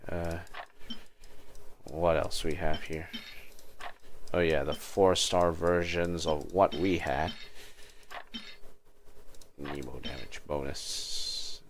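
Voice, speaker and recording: A man's voice: very deep voice, steady tone, slow pace, long pauses, background noise. A man giving casual livestream commentary as he plays a video game, half muttering to himself.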